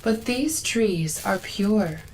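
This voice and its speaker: An actress playing a devout, earnest female zealot guarding a sacred forest in a video game, reverent, solemn and measured. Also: mid-range voice, expressive, slight background noise, low-quality recording.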